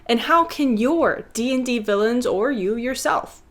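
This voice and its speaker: A woman's voice: on the high side, expressive, unhurried, fairly bright tone. A woman hosting a video essay, narrating a scripted lesson and playfully addressing viewers like a schoolteacher.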